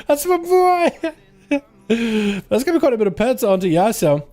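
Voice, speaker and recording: Excitable Russian-accented male game caster. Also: very high-pitched. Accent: slight Russian. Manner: fast excitable play-by-play with laughs. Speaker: streamer and game commentator. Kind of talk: live esports commentary.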